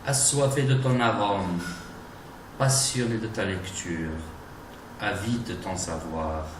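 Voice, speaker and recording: A man giving a dramatic, declamatory recitation of romantic poetry in deliberate phrases. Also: lowish voice. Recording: distant, echoey, noisy recording.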